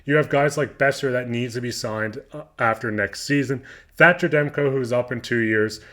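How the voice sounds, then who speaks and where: A man with a voice of ordinary pitch hosts a hockey news show. He is hoarse, his voice is going, and he talks in a loose, eager fan's way as he works through quotes and adds his own take. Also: normal speed, sharp tone. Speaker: hockey news channel host. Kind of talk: sports news commentary.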